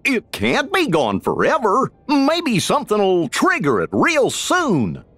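A good-natured cartoon dog for a game. The voice is a husky, silly drawl with huge swings in pitch. It sounds earnest and simple, recorded dry and close. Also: male voice, huge pitch swings, slow, fairly bright tone, dry recording, faint music behind, full character voice. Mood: hopeful. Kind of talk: animated video game acting.

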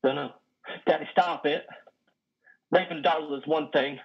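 Guy acting a broken lonely trucker in a play, high raspy pleading voice, halting, dull video call sound. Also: fairly even intonation. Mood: haunted, agitated. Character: lonely, worn-out trucker reliving childhood trauma, pleading like a frightened boy. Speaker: actor reading a play. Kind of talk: dramatic play reading. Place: living room on a sofa. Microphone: headset on a video call. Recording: muffled video-call audio.

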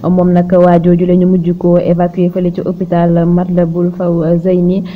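A woman with a Senegalese accent presenting news narration, with a halting delivery and frequent hesitant pauses. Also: on the low side, monotone. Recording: dull lo-fi sound with clear noise.

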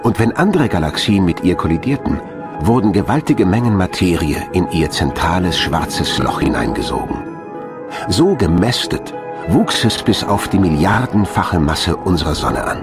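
Deep-voiced man narrating a documentary over music. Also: expressive, normal speed.

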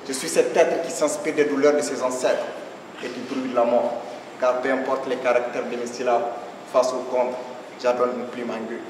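A man's voice: medium pitch, little pitch movement, moderate pace. A young man from Niger with a West African French accent, performing slam poetry in a rhythmic, declamatory way. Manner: rhythmic, declamatory, gestures while reciting. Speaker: slam poet. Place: large hall with tiled floor, audience seated. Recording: echoey hall from far off, noisy.

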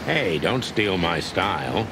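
An actor playing a world-weary older rooster detective in a film noir game, with a dry, terse, deadpan delivery. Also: male voice, low voice, takes it slowly, background noise, exaggerated voice. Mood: grim, dry. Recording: music behind the voice.

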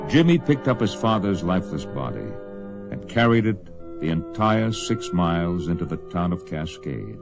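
Grave radio-drama storyteller of the Old West: bass voice, music behind. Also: male voice, sing-song, moderate pace, gravelly, full character voice. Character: grave male Western storyteller. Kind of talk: scripted radio drama narration.

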